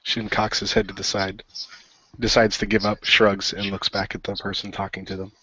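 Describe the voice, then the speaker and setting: A man's voice: fairly low pitch, monotone, normal speed, pushed and bright, breathy edge, dry recording, dull audio. A man narrating his character's actions in third person, matter-of-fact, as a role-play player.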